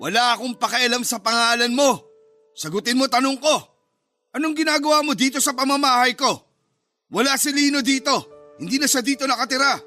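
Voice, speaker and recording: A male actor plays an irritated, cold-hearted Filipino dad in a radio drama. His voice sits very high and cuts brightly over music. He is curt, scornful and quick to confront. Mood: suspicious, irritated. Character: hostile, cold father of a grown son, irritated.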